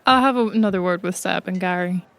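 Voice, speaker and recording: A woman bantering casually as a player in an improvised tabletop game, playful and deadpan. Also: lowish voice, fast talker, vocal fry, no echo. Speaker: player in a tabletop roleplay podcast.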